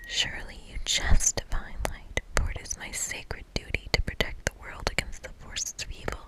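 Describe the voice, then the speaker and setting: A woman's voice: moderate pace, bright, forceful tone, close to an everyday voice, rough recording. A woman voicing an earnest, workaholic young witch in a whispered game read-aloud, dramatic and fretful. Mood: anxious, insistent. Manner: dramatic, fretful, solemn incantations.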